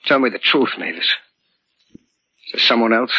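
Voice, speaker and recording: An actor playing a bitter, envious, lovesick twin brother in a brooding, self-pitying way, in 1940s radio drama style. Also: male voice, medium pitch, expressive, measured pace, breathy edge, highs cut off. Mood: pleading, possessive. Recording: vintage 1940s radio, band-limited.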